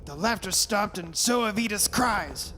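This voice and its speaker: A man's voice: sits high, animated, a little slow, bright, forceful tone, exaggerated voice. An actor playing a desperate, anguished father in an old-time style radio horror drama. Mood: anguished, urgent. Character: desperate, anguished father trying to rescue his daughter. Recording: hissy hall recording with long reverb.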